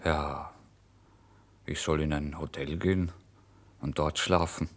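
Deep-voiced, theatrical starving writer. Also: male voice, steady tone, slow pace, full character voice. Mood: pleading, sheepish. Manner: theatrical, swinging from exclamations to hushed whispers. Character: starving, impulsive male writer recounting his misery and brazen lies. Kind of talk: dramatic first-person literary monologue.

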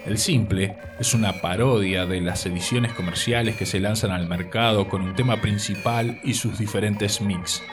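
Male cultural radio presenter, formal and measured scripted read, music bed, slightly distant mic. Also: fairly low pitch, steady tone.

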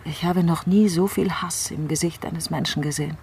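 A woman's voice: deep voice, steady tone, normal speed, a little mellow, a little hiss or hum. An actress playing a shaken, bitter female politician in a radio crime play. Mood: grave, shaken. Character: shaken, bitter female politician recalling an attempt on her life.

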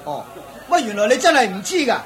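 A man's voice: extremely high pitch, sing-song, quick pace, a bit bright, heavily acted. A man voicing a middle-aged auctioneer who chuckles and lectures in a knowing, chatty way in a radio play. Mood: amused.

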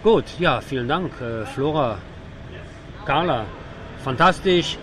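A man's voice: a little high, expressive, slow pace, background noise. A man working as a radio host, asking questions in a casual on-location interview.

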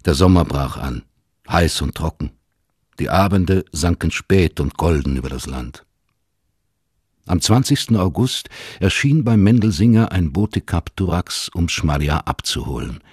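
An actor as a solemn storyteller, narrating a literary radio drama in measured phrases. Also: male voice, low-pitched, expressive, a little mellow, breathy edge, dry recording, studio quality, heavily acted. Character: sombre storyteller of a family tale.

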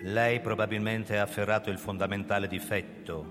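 An actor playing a proud, defensive older agency founder who narrates his story in a measured, theatrical radio-drama delivery. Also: lowish voice, steady tone, lightly acted. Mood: grave, explanatory. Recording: long hall reverb, music underneath. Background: music.

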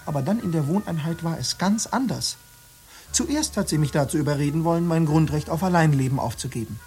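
A woman's voice: sits low, expressive, a little fast, a little mellow, slightly rough, soft background music, heavily acted. An aggrieved, self-righteous man. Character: indignant man complaining of being seduced. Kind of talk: radio play acting.

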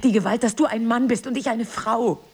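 An actress playing a middle-aged, wounded and jealous wife in an intimate drama, with intense, emphatic phrasing. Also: female voice, on the high side, brisk, darkish tone, breathy edge. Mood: anguished, accusing. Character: wounded, bitter, jealous wife, drinker, ageing and proud. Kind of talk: intimate stage-play dialogue and confessional monologue.

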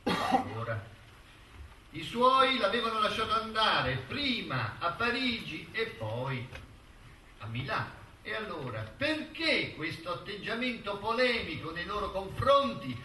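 A man's voice: sits high, very animated, a little slow, sharp tone, full character voice. An actor playing an insistent, accusatory male lawyer who hammers a witness with repeated questions in a theatrical courtroom drama. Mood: probing. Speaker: stage actor. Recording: roomy, distant stage recording with faint noise.

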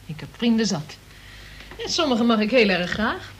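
A woman's voice: a little high, very animated, normal speed, slightly rough. An actress in a Dutch radio play playing a frank, flighty young woman with a blunt, matter-of-fact manner. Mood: breezy candour.

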